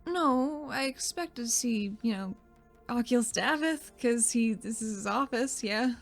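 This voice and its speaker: A woman playing a guarded, uneasy female character in a tabletop game, halting and understated. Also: fairly high pitch, expressive, vocal fry, faint music behind. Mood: shaken, defensive. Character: guarded, uneasy woman returning to active duty.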